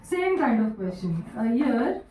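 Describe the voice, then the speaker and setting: A woman teaching English grammar, repeating each sentence slowly word by word and asking her students questions. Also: fairly high pitch, big pitch swings, mellow, a little hiss or hum. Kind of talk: classroom grammar lesson. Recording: echoey room, voice from a distance.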